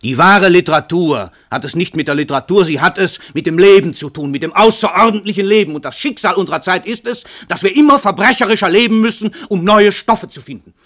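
An actor playing a world-famous crime writer, an arrogant, cynical murderer who declaims in a domineering, emphatic way in a radio drama. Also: male voice, high-pitched, fast talker, hardly any pauses, fairly bright tone, no echo, studio quality. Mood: provocative. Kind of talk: theatrical German radio drama monologue.

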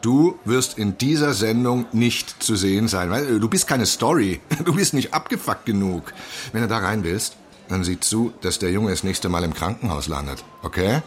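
An actor in a radio drama playing a brash, cynical friend who gives blunt, sweary, mocking advice. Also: male voice, fairly low pitch, expressive, moderate pace, slight background noise, lightly acted. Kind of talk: naturalistic radio drama acting.

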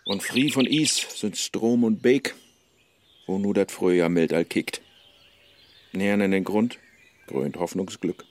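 An actor playing the restless scholar Faust in a Low German verse radio play, declaiming rhymes in a Low German accent. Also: male voice, slow pace, pauses often, dry recording. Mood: wistful. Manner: rhyming declamation, swinging from gloom to fervour.

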